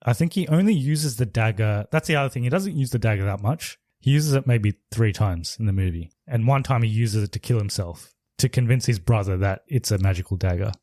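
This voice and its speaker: Male podcast co-host, slightly low voice, loose rambling delivery, dry close mic. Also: animated, a little fast, hardly any pauses, a little mellow, dry recording. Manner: rambling, laid-back, lots of "like". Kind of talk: casual movie review chat.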